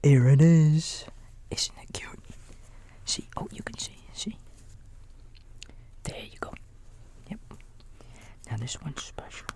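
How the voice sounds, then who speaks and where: A man playing a kindly raccoon babysitter in a bedtime ASMR roleplay, whispering softly and gently reassuring a small child in a folksy, halting way. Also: a little high. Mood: soothing, playful. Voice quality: soft, breathy whisper. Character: kindly big-brotherly male raccoon babysitter.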